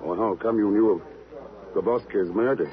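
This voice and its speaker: A man's voice: lowish voice, moderate pace, close to an everyday voice. A man playing a cool, suspicious middle-aged secret agent in 1950s radio drama, speaking in clipped, probing lines. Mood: dry, probing. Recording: dull, noisy old radio broadcast.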